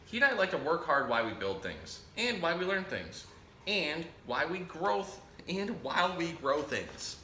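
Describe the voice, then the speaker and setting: A man hosting a children's storytime, reading a picture book aloud in a playful, expressive way and talking directly to young listeners. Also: fairly high pitch, normal speed. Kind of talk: animated read-aloud of a children's picture book with chatty asides to a pet parrot. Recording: roomy echo, faint background noise.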